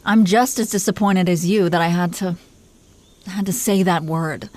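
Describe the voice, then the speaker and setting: A woman's voice: on the low side, moderate pace, a little mellow, lightly acted. A woman playing an imperious, possessive dragon queen who teases her husband with condescending affection in an audio roleplay. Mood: haughty. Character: haughty, teasing, possessive dragon queen and doting wife. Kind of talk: scripted roleplay monologue spoken to the listener.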